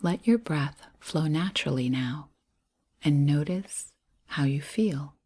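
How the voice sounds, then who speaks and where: A woman guiding a breath and body scan meditation in a soft, breathy voice, soothing and gentle, pausing between short phrases. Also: low-pitched, expressive. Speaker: meditation guide.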